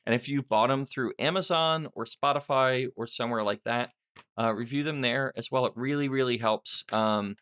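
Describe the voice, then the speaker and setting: A man's voice: mid-range voice, normal speed, fairly bright tone, clean tone. A middle-aged man hosting a casual, unscripted question-and-answer podcast, rambling and self-deprecating as he thinks aloud. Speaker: podcast host and writer answering listener questions.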